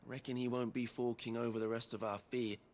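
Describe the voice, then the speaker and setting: A man's voice: mid-range voice, level tone, a little fast, darkish tone, a little hiss or hum, close to an everyday voice. A man voicing a blunt caravan guard. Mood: persuasive. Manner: blunt, businesslike persuasion. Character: male caravan guard hiring a fighter, blunt and practical. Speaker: game character.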